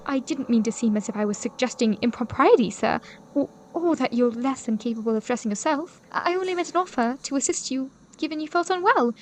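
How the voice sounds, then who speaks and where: A woman plays a high-voiced young lady in a period audio drama. She is kind, proper and solicitous, speaking politely over faint background noise. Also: expressive, a little fast, darkish tone, poor audio. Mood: flustered, apologetic.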